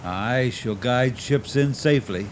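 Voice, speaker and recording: A man's voice: mid-range voice, a little slow, close to an everyday voice. An actor playing a skeptical, matter-of-fact male head lighthouse keeper in a radio drama. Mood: steady, practical. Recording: echoey hall reverb, faint cassette noise.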